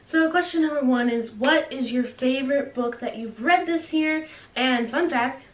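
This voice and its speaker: A young woman with a fairly high voice chats casually, as if to her followers. She is playfully dramatic and pokes fun at herself. The recording is roomy and a little distant from the mic, with faint noise. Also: moderate pace, muffled recording. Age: young adult. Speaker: book vlogger and host. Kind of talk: casual chatty video to camera.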